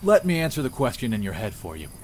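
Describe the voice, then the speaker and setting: A man's voice: medium pitch, sing-song, quick pace, noisy background, natural voice. A man playing a dry, matter-of-fact, well-travelled village inventor in a visual novel. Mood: proud, musing. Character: dry, worldly village man, self-taught showman inventor.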